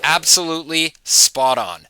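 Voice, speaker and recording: A man's voice: fairly high pitch, animated, unhurried, sharp tone, smooth, low-quality recording. A man hosting a political commentary show, talking to viewers in an informal, mocking way with chuckling asides. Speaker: host of political commentary channel. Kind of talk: casual opinion commentary to camera.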